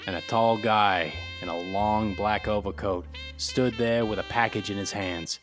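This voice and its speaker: Man as low-voiced hardboiled detective, guitar behind. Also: fairly even intonation, unhurried, lightly acted. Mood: world-weary, narrating. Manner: laconic, slangy. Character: hardboiled, wisecracking male private detective. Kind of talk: 1940s radio noir acting, first-person narration.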